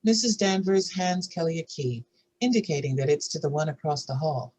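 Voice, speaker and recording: A woman with a husky voice reads scripted narration and stage directions for a drama table read. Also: low voice, lively intonation, fairly quick, a little mellow, rough recording.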